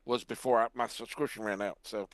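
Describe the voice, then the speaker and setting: A man's voice: fairly low pitch, steady tone, brisk, no room sound. A middle-aged man in casual conversation as a podcast host. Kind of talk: casual chat about toy collecting.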